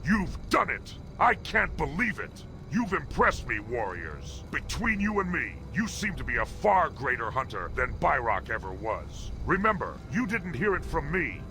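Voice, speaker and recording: A man voicing a hearty, scheming orc hunting guild master in a fantasy game, with animated delivery. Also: on the high side, unhurried, music in the background, rough recording. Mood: gleeful. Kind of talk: video game character dialogue.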